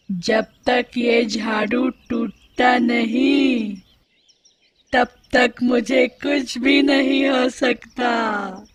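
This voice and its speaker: A man's voice: very high voice, exaggerated voice. A man voicing a cruel old witch who hunts children in a fairy-tale cartoon, with a menacing, theatrical delivery. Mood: gloating. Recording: loud music behind the voice.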